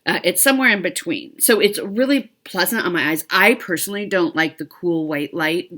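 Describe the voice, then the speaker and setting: A woman's voice: lowish voice, normal speed, bright, forceful tone. A middle-aged woman chatting casually to viewers of her cross stitch vlog, a little stuffy from allergies, rambling with fillers and small laughs.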